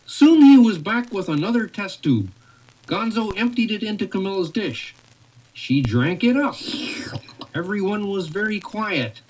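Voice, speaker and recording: Animated woman reading a storybook aloud. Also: medium pitch, unhurried, a little hiss or hum, poor audio. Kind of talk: read-along story narration.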